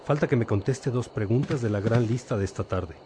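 An actor playing a calm, fatherly bishop who instructs a novice in a measured way in a religious radio drama. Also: mid-range voice, slight background noise, lightly acted.

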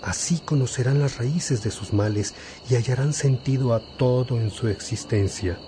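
An actor playing an elderly, gentle bishop who exhorts like a father in a radio drama. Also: mid-range voice, background noise, close to an everyday voice. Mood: fatherly, earnest. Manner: paternal, exhorting, deliberate.

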